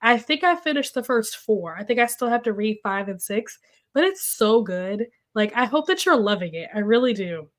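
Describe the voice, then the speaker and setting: A woman's voice: fairly high pitch, expressive, moderate pace. A young woman hosting a casual livestream, chatty and full of fillers, sounding congested and a little coughy as she recovers from being sick. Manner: chatty, filler-heavy, reacts to comments. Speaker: livestream host. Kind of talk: casual livestream chat, reading viewer comments. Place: at home. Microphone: desk microphone.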